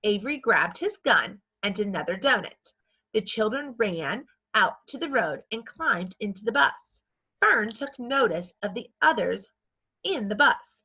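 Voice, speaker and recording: A woman narrates a children's storybook at a measured reading gait. Her voice is very bright with a husky, breathy grain. The recording is slightly dull. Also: mid-range voice, lively intonation. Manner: measured, acts out dialogue. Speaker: storybook reader.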